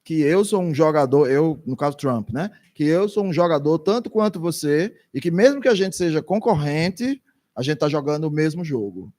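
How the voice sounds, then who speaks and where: A man's voice: a little high, lively intonation, normal speed, a little mellow. A man doing consecutive interpretation for a lecture, speaking hesitantly with frequent restarts.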